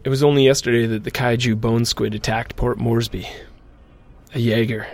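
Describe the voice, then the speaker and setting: A man playing a rookie mech pilot, narrating his own story in a measured, reflective way in an audio drama. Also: mid-range voice, fairly even intonation, natural voice. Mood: grave. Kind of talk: scripted first-person audio drama narration.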